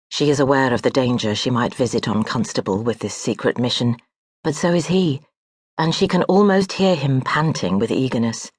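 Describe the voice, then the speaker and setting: An audiobook narrator reading historical fiction in a measured, even storytelling manner. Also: medium pitch, husky, no echo. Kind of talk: scripted literary narration. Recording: dry close studio audiobook.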